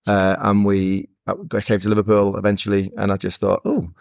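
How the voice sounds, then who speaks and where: A man's voice: lowish voice, completely flat delivery, normal speed, gravelly, darkish tone, no echo. A man co-hosting a casual football podcast, teasing his friends with mock-grudging banter. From Scotland. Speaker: podcast co-host.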